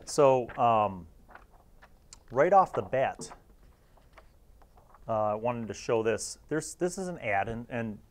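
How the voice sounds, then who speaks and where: A man's voice: medium pitch, lively intonation, takes it slowly, long pauses. An American man in his forties hosting a casual review to camera in a reflective, nostalgic manner. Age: 40s. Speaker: host.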